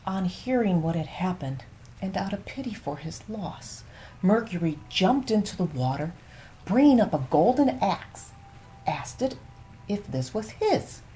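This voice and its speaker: A woman telling bedtime fables as a storyteller, in an unhurried, soothing and expressive way. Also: medium pitch, faint music behind, poor audio.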